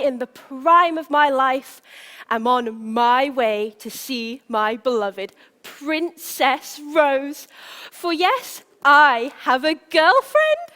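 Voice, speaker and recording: In a big echoing theatre, a chirpy young prince played by a woman addresses the crowd in a high voice. The delivery is grand and unhurried, with dramatic pauses, full of naive, lovestruck self-importance in true live pantomime style. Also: sharp tone, heavily acted. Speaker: stage actor. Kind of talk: live pantomime monologue to the audience. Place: large indoor theatre stage. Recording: reverberant theatre hall, live stage recording.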